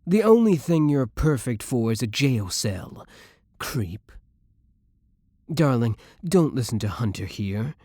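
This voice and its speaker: A man's voice: medium pitch, sing-song, slow pace, mellow, no echo, studio quality. A man playing a jealous, menacing young boyfriend in an audio roleplay, biting with his rival and tender with the one he adores. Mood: scornful, pleading. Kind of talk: scripted romantic audio roleplay.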